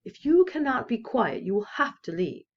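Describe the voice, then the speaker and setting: A woman's voice: a little high, sing-song, moderate pace, lo-fi sound, voice pushed up. A woman voicing a stern, rule-minded female head librarian in a storybook, speaking firmly. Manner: strict, clipped, firm.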